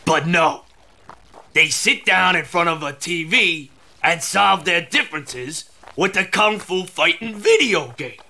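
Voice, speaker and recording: Game mobster: American, sleazy swaggering hustler, highish forceful voice with a creaky edge. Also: male voice, expressive, a little slow, full character voice. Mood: boastful. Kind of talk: video game crime drama dialogue.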